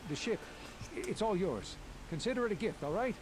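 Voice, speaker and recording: A man's voice: high-pitched, animated, brisk, noisy background. An actor playing a nervous man in a video game, hurriedly pleading and handing over a ship.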